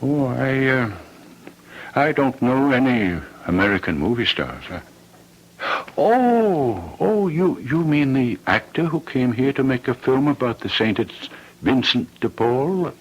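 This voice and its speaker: An actor playing a fussy, stern village priest, husky and hesitant, stammering and admonishing in radio drama style. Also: male voice, medium pitch, very animated, unhurried, lo-fi sound. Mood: flustered. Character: fussy, stern village priest hearing confession, easily flustered. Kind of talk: old-time radio drama acting.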